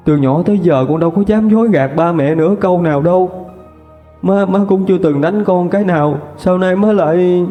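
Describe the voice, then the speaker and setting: A man's voice: high-pitched, brisk, warm tone, voice pushed up, natural voice. A man voicing a wronged young woman, pleading tearfully with her mother. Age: 20s. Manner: tearful, sobbing pleas. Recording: reverberant with background music.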